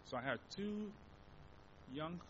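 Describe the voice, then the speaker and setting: A young man from the Democratic Republic of Congo with a Congolese accent, whose first language is Lingala, giving a missionary presentation to a church and searching for words with many restarts. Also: slow pace. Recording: dull recording with steady low rumble.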